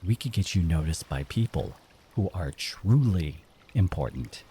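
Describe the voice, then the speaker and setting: A man acting as a bitter exiled merfolk interrogator in an audio drama, speaking in a stern, accusing way with dramatic pauses. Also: deep voice, animated, mellow, a little hiss or hum. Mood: stern, menacing.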